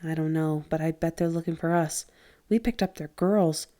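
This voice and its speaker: A woman voicing a scared teenage boy who speaks low and steady, sometimes quavering. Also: moderate pace, lightly acted.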